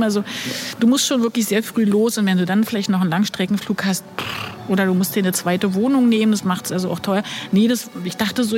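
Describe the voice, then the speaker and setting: Chatty woman in her fifties, mid-pitched, light background hiss; a storyteller guest. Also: darkish tone. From Berlin. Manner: chatty storyteller with many fillers. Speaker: guest, former flight attendant. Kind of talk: casual interview.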